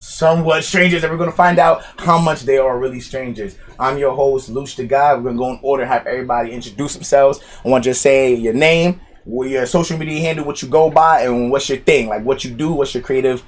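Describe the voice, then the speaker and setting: Male podcast host, medium pitch, informal and slangy, addressing a group. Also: steady tone, a little fast, few pauses. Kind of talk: casual group podcast hosting.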